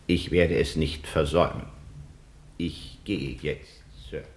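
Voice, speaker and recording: Solemn butler, super low formal voice, echoey old radio play recording. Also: male voice, slow pace, gravelly, full character voice. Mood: solemn farewell. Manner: formal, deadpan. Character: stiff, dutiful, solemn English butler. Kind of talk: 1950s German radio play acting.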